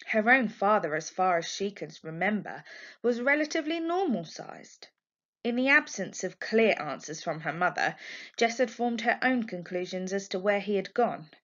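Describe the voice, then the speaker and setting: A woman's voice: medium pitch, lively intonation, moderate pace, a bit bright. Woman reading a storybook, dull lo-fi sound. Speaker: primary school teacher reading a children's book aloud. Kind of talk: expressive storybook narration. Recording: dull, lo-fi home recording.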